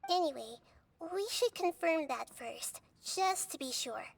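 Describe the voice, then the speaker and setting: A woman voicing a gentle young goddess who speaks in a soft, measured, thoughtful way. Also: high voice, expressive, creaky, heavily acted. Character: gentle young female god, calm and wise.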